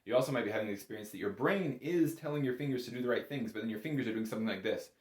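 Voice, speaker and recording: A man's voice: mid-range voice, expressive, very fast, a little hiss or hum. A man giving an informal, step-by-step music lesson in a patient, encouraging manner. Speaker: bagpipe instructor. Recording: roomy, echoey indoor sound.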